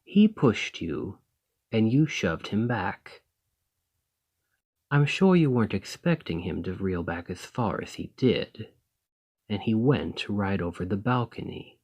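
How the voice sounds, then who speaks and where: A man's voice: fairly low pitch, expressive, darkish tone, husky, muffled recording, full character voice. A man playing an ambitious foreign noble in a fantasy audio roleplay, speaking in a formal, composed, courteous and persuasive manner. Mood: measured, matter-of-fact. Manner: formal, measured, deliberate, condescendingly sympathetic. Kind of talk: scripted fantasy ASMR roleplay monologue.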